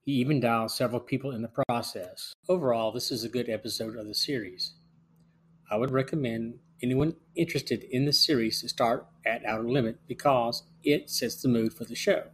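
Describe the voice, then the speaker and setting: A man with a mid-pitched voice hosts a review show. He reads prepared commentary as narration, summarizing and assessing as he goes. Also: steady tone, moderate pace, a bit bright, slight background noise. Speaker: podcast host and reviewer.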